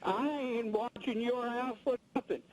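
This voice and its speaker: A man's voice: extremely high pitch, fairly even intonation, unhurried, slight background noise, low-quality recording. A combative man, calling a radio show to rant angrily and repeating himself as he scolds. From rural United States. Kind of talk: angry phone-in rant.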